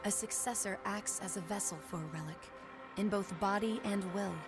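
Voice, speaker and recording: An actress playing a solemn, remorseful young woman in a video game, speaking in a measured, earnest, grave way. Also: mid-range voice, close to an everyday voice. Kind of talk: dramatic video game voice acting. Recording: loud music under the voice.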